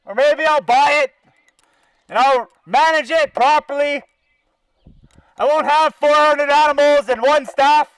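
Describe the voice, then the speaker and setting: A man's voice: extremely high pitch, fairly even intonation, unhurried, long pauses, fairly bright tone, no echo, poor audio. A man with a Canadian accent delivers an indignant, sarcastic, sweary protest rant as a confrontational activist, through a bullhorn outdoors. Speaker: animal-welfare activist. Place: outdoors by a zoo fence.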